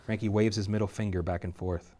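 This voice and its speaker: A man reading the stage directions aloud at a script table reading, steady and measured. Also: lowish voice.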